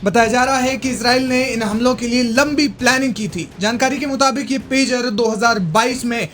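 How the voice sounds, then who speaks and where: A male news presenter with a notably high-pitched voice reads a scripted report. His delivery is emphatic and explanatory. Faint music plays behind him. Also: fairly even intonation, a little fast, fairly bright tone. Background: background music.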